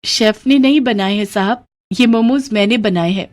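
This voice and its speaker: A woman's voice: on the high side, moderate pace, a little mellow, close to an everyday voice. A woman voicing a poor, hardworking young woman who sells momos, speaking earnestly and politely in a cartoon story.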